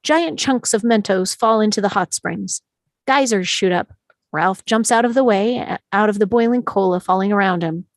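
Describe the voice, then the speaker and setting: A woman narrates stage directions for a script read. Her voice sits at a medium pitch, and the delivery is that of scripted narration. The sound is very dry and close. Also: normal speed, no room sound.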